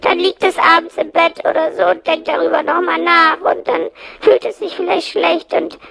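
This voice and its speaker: Casting: a squeaky little worry-eating plush monster, extremely high and gravelly, cheeky, rambling, self-pitying, for a comic audio play. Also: female voice, steady tone, normal speed, a bit bright, full character voice. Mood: pleading. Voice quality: creaky, strained. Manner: rambling, throat-clearing, mock-earnest asides. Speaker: host.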